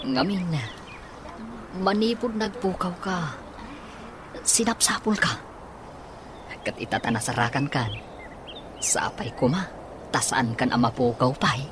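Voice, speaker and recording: A man's voice: on the high side, animated, a little slow, long pauses, noisy background. An actor with an Ilocano accent playing an earnest, smitten young man in a radio drama.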